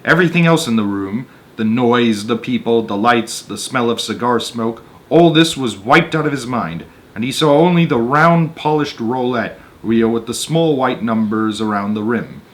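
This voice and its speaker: A man's voice: medium pitch, lively intonation, normal speed. A man reading a children's story aloud as narrator, stepping out for casual, conversational comments on the text. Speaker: narrator and host of a story-time show.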